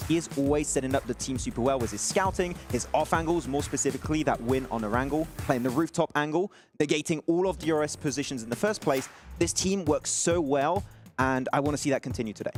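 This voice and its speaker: A man's voice: on the high side, quick pace, faint music behind. A man breaking down team play as an esports analyst, enthusiastic and detailed.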